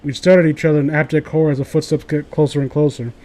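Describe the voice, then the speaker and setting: A man's voice: on the high side, level tone, fast, dark tone. A man hosting a casual show, reading amateur horror stories aloud and breaking off into rambling, opinionated, profane commentary.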